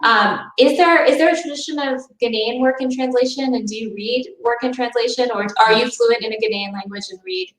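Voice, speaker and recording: A woman's voice: a little high, fairly quick, hardly any pauses. A woman hosting a book talk as interviewer over a video call, friendly and curious, with many fillers. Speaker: interviewer host. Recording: roomy, distant video call audio.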